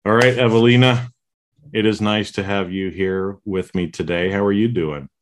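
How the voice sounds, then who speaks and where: A man hosting a podcast with a somewhat low voice. He talks in an upbeat, chatty way, laughs easily and often repeats himself as he goes. Faint music plays behind him. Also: fairly even intonation, moderate pace. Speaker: podcast host. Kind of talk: podcast interview.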